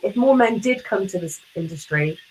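A woman's voice: medium pitch, animated, moderate pace, rough recording. A woman hosting an interview over a video call, talking in a hesitant way with likes and ums. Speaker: interviewer, host. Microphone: webcam on video call.

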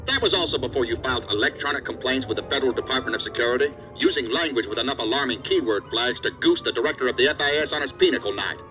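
A man acts out a hard-bitten federal agency boss in a sci-fi audio drama. He is stern and profane, scolding at a brisk clip. Music plays clearly behind his medium-pitched voice. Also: lively intonation, close to an everyday voice. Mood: angry, exasperated. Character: angry, hard-bitten male assistant director of investigative agency.

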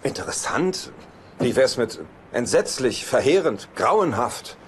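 An actor dubbing a neurotic, self-pitying, sarcastic bachelor in a German sitcom, with dramatic exasperation. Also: male voice, mid-range voice, very animated, a little slow, slight background noise. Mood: distraught, exasperated.

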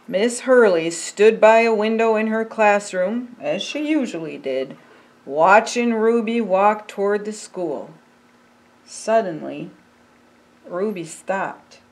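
An older woman, a pastor, reading a children's picture book aloud in a deliberate, expressive storytelling manner. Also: mid-range voice. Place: indoors by a fireplace.

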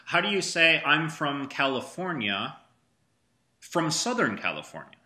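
A man's voice: fairly high pitch, very animated, a little slow, bright, forceful tone. A man teaching a student Arabic in a one-on-one lesson, patiently prompting him and repeating his praise.

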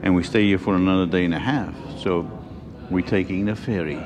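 A man in his sixties chatting casually as host of a travel vlog, in a halting way that repeats phrases. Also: low voice, crowd noise. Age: 60s. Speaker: vlog host, roofing contractor. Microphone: clip-on lapel mic. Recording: noisy on-location vlog audio.

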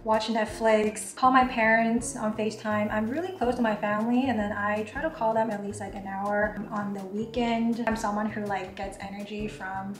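A woman's voice: medium pitch, fairly even intonation, normal speed, few pauses, far from the mic. Chatty young woman. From Taiwan. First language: Mandarin. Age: 20s. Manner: chatty, frequent "like" fillers. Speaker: YouTuber. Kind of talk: casual Q&A vlog. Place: home kitchen, indoors. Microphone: camera across the counter. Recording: echoey kitchen with background music.

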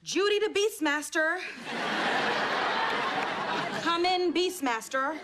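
An actress playing a playful, teasing coworker. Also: female voice, very high voice, expressive, slow pace, over a noisy crowd, heavy background noise, heavily acted. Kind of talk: sitcom acting. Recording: sitcom with loud audience laughter.

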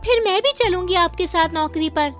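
Woman as anxious young cartoon girl, protesting, very high pitch, loyal. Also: normal speed, fairly bright tone, soft background music.

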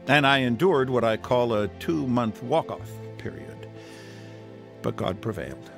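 A man's voice: medium pitch, measured pace, gravelly, in a large hall, music in the background. An actor playing an earnest, preachy reformed man turned missionary, telling his testimony in a radio drama. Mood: earnest, friendly.

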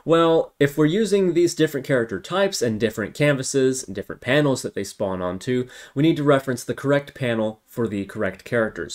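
A man's voice: medium pitch, a little hiss or hum. A man giving an informal tutorial, thinking aloud step by step as he works on screen. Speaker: tutorial instructor.

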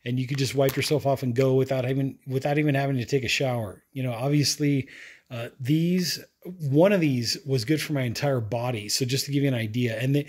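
A man's voice: mid-range voice, steady tone, a little fast, husky. A man casually reviewing products at a table indoors, talking in a rambling, chatty way with many fillers and restarts.

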